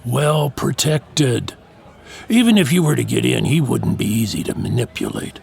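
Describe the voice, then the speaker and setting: An actor playing a wry, dismissive insider in an audio drama, with a gravelly, sardonic drawl. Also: male voice, medium pitch, lively intonation, moderate pace, darkish tone. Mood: firm, sardonic. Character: wry, dismissive insider man who won't be pushed.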